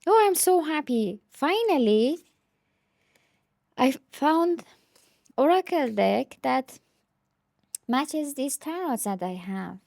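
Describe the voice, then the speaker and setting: Slow-talking woman with a higher voice. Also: big pitch swings, gravelly, a little mellow, no echo, clean studio sound. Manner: hesitant, thinking aloud. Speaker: tarot deck reviewer. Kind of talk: casual unscripted show-and-tell.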